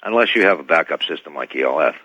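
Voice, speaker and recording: An American man as a guest in a live radio debate, speaking in a measured, flatly corrective way. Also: rumbling low, slightly rough, dry recording. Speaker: talk show guest. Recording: dull, lo-fi dry radio feed.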